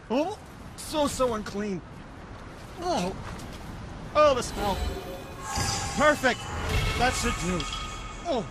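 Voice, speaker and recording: Game role: vain, squeamish craftsman, high voice, swooping intonation, prissy muttering and flustered stammers. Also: heavily acted. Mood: squeamish, disgusted. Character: fussy, squeamish male craftsman weaponsmith, vain and easily flustered. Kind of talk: video game cutscene acting.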